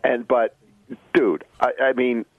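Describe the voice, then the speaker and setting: A man chatting casually with strong opinions, halting but insistent, over a phone. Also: medium pitch, steady tone, no echo. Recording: tinny, phone-like line.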